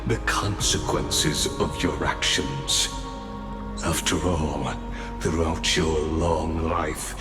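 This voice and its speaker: An actor voicing a formal, calculating robot gatekeeper in a video game, measured and unfailingly polite. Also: male voice, very deep voice, steady tone. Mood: calm, calculating. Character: courteous, calculating male robot watcher, long-lived gatekeeper. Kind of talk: scripted video game voice acting. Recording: echoing voice over clear background music.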